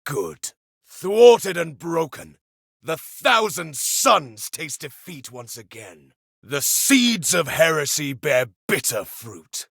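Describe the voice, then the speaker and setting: A man's voice: a little high, very animated, slow, gravelly, a bit bright, breathy edge, no room sound, studio quality, exaggerated voice. An actor playing a grim, pious armored male super-soldier, declaiming solemn insults over slain foes in a video game. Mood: grim contempt. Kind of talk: video game combat voice lines.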